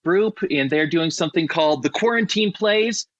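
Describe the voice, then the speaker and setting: A man's voice: on the high side, lively intonation, moderate pace, fairly bright tone, dry recording, muffled recording. A man hosting a podcast in a casual, chatty and enthusiastic way. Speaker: podcast host.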